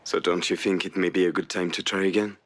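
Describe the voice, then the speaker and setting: An actor playing a wry, cocky but hopeful ex-boyfriend, with a dry, casual manner in a naturalistic game performance. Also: male voice, deep voice, fairly even intonation, fast talker, a bit bright. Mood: earnest. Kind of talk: naturalistic visual novel voice acting.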